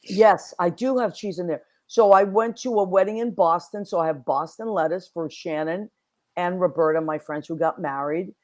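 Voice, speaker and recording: A woman's voice: on the low side, normal speed, a little mellow. Bubbly New woman hosting. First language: Italian. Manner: bubbly, effusive. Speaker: host. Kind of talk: casual interview chat.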